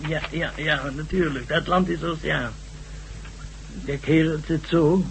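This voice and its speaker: An actor playing a stubborn, condescending old professor in a Dutch science-fiction radio drama. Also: male voice, on the high side, steady tone, a little slow, creaky. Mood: explaining. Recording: noisy, humming old radio recording.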